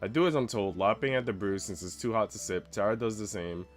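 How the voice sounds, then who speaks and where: Male game streamer, lowish voice, informal and slangy, music playing behind him. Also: a little fast. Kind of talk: casual chat mixed with reading visual novel narration aloud.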